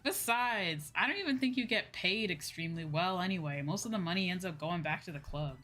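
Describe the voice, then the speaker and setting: A woman's voice: lowish voice, fairly quick, bright, forceful tone. A young woman streamer chatting casually on a livestream, rambling and repeating her phrases for emphasis. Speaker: VTuber streamer. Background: background music.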